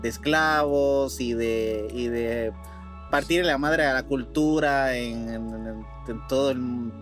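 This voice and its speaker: A man chats as a podcast co-host in an informal, slangy way. His voice sits at a middle pitch, with faint music behind it. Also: slow pace, a bit bright. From Puerto Rico. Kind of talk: casual chat.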